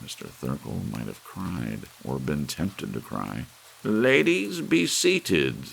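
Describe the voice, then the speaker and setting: A man narrating a literary story as an ASMR audiobook reader, with soft rain behind him. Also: extremely low pitch, vocal fry, poor audio. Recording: faint hiss behind the voice.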